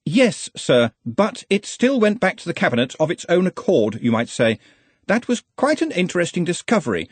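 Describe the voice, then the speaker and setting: A man's voice: high-pitched, sing-song, normal speed, husky, no room sound, voice pushed up, heavily acted. A man voicing an anxious, pedantic, long-suffering wizard's assistant in a storybook, fussy and deferential. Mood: fussy explaining.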